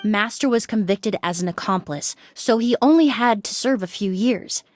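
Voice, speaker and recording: A woman voicing a composed, polite young investigator in a video game, measured and courteous. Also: medium pitch, dry recording, studio quality, lightly acted. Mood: reflective.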